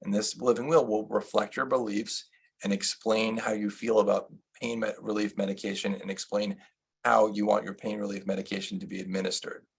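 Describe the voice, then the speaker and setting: A male attorney with a low, gravelly voice and a husky, breathy edge, presenting over a video call. He explains step by step and steers the talk with questions to his co-presenter. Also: level tone, a little fast. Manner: explanatory, asks his colleague guiding questions. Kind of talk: legal webinar presentation.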